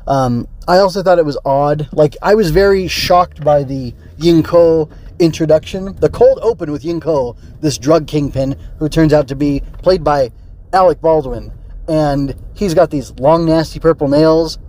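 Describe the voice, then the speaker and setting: A man's voice: a little high, measured pace, warm tone. A man hosting a casual solo review podcast, rambling and thinking aloud with tentative digressions. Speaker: podcast host and film reviewer.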